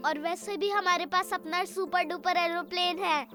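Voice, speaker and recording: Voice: very high-pitched, steady tone, normal speed, over music. An actor voicing a tiny, cheeky little brother rabbit in a children's cartoon, eager and exclamatory.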